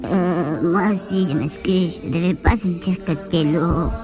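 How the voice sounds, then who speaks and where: A man doing a soft high sheep sidekick. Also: steady tone, unhurried, over music. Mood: apologetic. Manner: gentle, apologetic, reassuring.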